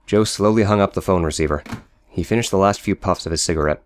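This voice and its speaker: A man's voice: low-pitched, fast talker, a little hiss or hum. A man reading scripted audio book narration of a story. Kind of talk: scripted narration with character dialogue.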